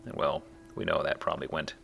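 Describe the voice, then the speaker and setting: A man with a very low, crackly voice talks casually through a video game. His tone has a bright, tense edge, and he drops wry asides as he explains what he is doing. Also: flat delivery, quick pace, vocal fry. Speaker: let's play host and player. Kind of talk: casual gameplay commentary.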